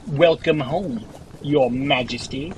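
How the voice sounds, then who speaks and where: A man's voice: mid-range voice, takes it slowly, noisy background, natural voice. A man playing an anxious but loyal young soldier scout in a fantasy audio drama, reporting formally and respectfully. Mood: dutiful, formal.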